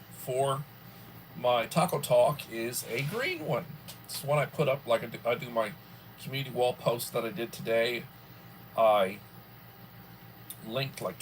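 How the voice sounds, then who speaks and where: A man in his early sixties hosting a casual livestream from home, rambling and filling pauses often. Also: medium pitch, lively intonation, a little hiss or hum, poor audio. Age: 60s. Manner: rambling, with many fillers. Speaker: livestream host.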